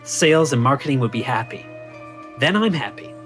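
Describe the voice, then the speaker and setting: A pushy male boss played by an actor in a comic audio drama. He pitches with eager insistence at a middling pitch, over faint music. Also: expressive, a little fast, a bit bright, close to an everyday voice. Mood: eager, insistent. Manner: enthusiastic, insistent sales-pitch talk. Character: pushy, excitable male CEO obsessed with leads. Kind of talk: comedic audio drama acting.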